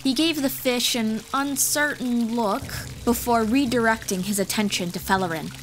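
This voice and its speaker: A woman's voice: fairly high pitch, normal speed, slight background noise. A woman reading scripted fantasy story narration as the narrator of an audiobook. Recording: music behind the voice.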